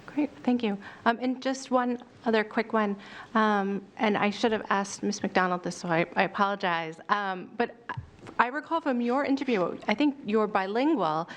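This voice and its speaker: A woman's voice: medium pitch, a bit bright. A woman on a school board committee asking questions politely and hesitantly, with apologies. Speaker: committee member. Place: meeting room.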